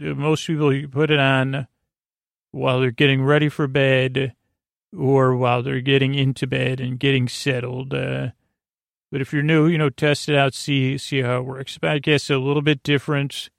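A man hosting a bedtime podcast in a creaky voice, meandering soothingly through halting false starts and tangents. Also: mid-range voice, no echo, clean studio sound. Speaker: host of a bedtime sleep podcast. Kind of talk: rambling, soothing bedtime monologue.

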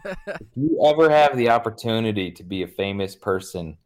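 A man's voice: fairly low pitch, animated, moderate pace. A young American man, a guest in a casual podcast chat, rambling and swearing, with jokey acted-out quotes. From United States.

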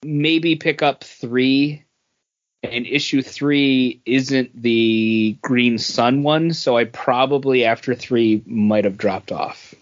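A man's voice: mid-range voice, fairly even intonation, takes it slowly. A man talking casually and bluntly as a podcast panelist giving a review. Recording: dull, lo-fi audio.

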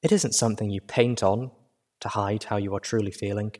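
A man voicing a gentle adult mare healer who speaks slowly, calmly and sadly. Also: on the low side, natural voice. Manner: slow, calm and gentle.

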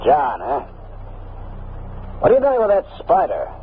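A man's voice: fairly high pitch, wildly swooping pitch, unhurried. An actor playing a blunt, suspicious frontier father in a 1950s radio drama, with folksy, commanding talk. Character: gruff, suspicious, bigoted frontier father on Mars. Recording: tinny, noisy old radio recording.

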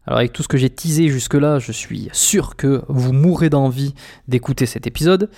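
A man's voice: mid-range voice, normal speed, warm tone. Conversational male podcast host. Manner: addresses listeners directly, conversational with hesitations. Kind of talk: podcast interview and listener appeal.

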